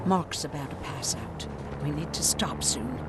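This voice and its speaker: Low-voiced, prickly female medic. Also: a little slow, vocal fry, heavy background noise. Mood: concerned. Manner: dry, sarcastic, curt. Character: prickly, sardonic female medic guarding scarce supplies. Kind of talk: video game dramatic acting.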